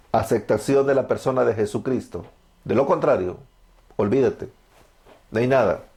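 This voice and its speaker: A man's voice: medium pitch, darkish tone. Fervent Salvadoran preacher man. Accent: Salvadoran Spanish. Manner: fervent, preachy, asks and answers his own questions. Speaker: preacher giving testimony. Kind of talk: evangelical testimony sermon.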